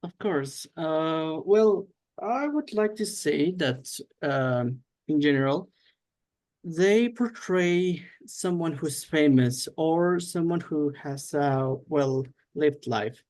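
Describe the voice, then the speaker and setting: A man's voice: on the high side, expressive, slow, a little mellow. A young man from Mexico, a student answering oral exam questions in English with hesitant fillers.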